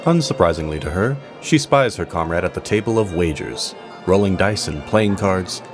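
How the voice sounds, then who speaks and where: A man narrating an audio drama as storyteller, with measured, theatrical pauses. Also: low-pitched, lively intonation, over music.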